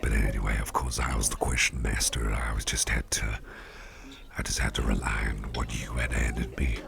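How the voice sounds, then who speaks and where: A man playing a suave, doting devilish businessman boss in a soft-spoken ASMR roleplay, murmuring with courtly, unhurried gratitude and slipping into gravelly whispers. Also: rumbling low, little pitch movement, heavily acted. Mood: appreciative, relaxed. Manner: courtly, unhurried, repeats phrases appreciatively. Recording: party crowd murmur behind voice. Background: crowd chatter.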